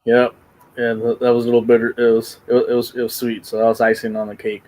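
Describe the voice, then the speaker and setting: A man's voice: mid-range voice, monotone, fast, a little mellow, rough recording. A man, co-hosting a casual soccer talk and often repeating words as he starts. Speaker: co-host.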